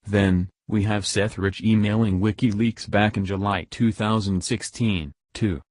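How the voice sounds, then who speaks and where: A man's voice: on the low side, fairly even intonation, a little slow, darkish tone, no echo, studio quality. A man reading scripted political news as a voice-over narrator.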